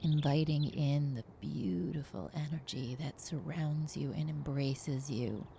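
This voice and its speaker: A woman meditation teacher speaks in a dark, mellow voice at a very slow pace. Her delivery is soothing, gentle and hushed, guiding the listener into relaxation. Some noise with faint birdsong sits behind her. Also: sits low, steady tone, vocal fry.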